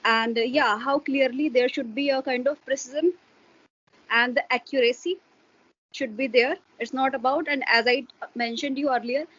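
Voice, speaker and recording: A woman's voice: sits high, steady tone, normal speed, fairly bright tone, husky, dull audio. A woman, a Hindi speaker, explaining her views in an interview with a hesitant manner and many fillers. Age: adult parent. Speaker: interview guest. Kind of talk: casual explanatory interview talk.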